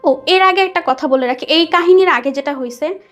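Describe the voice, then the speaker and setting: High-voiced young Bangladeshi woman storytelling. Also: normal speed. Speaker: guest telling a personal story. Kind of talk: conversational spoken storytelling. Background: background music.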